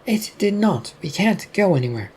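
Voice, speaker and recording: A narrator puts on a sceptical audiobook heroine, a woman with a raised, husky voice. She is incredulous and exasperated, questioning everything she is told. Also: medium pitch, big pitch swings, normal speed, darkish tone, pitched above the performer's own voice. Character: exasperated, incredulous woman, Laura, sharp-tongued and doubtful.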